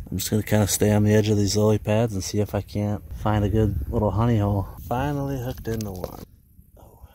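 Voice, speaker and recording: A man's voice: fairly low pitch, darkish tone, a little hiss or hum. A man in his thirties casually narrating a fishing trip, folksy and chatty, out on a lake in a kayak. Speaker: fishing vlogger. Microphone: action camera.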